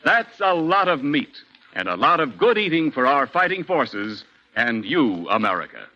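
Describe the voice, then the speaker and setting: Bright, lively 1940s radio announcer man. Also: moderate pace. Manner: booming, showy announcer delivery. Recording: dull old 1940s radio broadcast.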